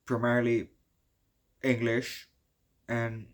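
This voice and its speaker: Dutch man, native speaker, middle-pitched, casual rambling reviewer, swears a lot. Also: monotone, slow pace. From Netherlands. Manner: rambling, swears often, peppers talk with "you know". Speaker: movie reviewer.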